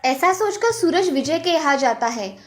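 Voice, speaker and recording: A woman narrating a scripted children's story in an expressive storytelling manner. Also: high-pitched, normal speed, soft background music.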